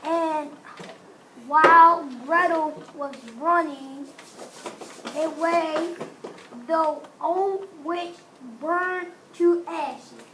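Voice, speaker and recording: A woman storyteller reading a fairy tale aloud, haltingly, repeating and correcting phrases. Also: extremely high pitch, fairly even intonation. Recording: noisy room recording with hiss.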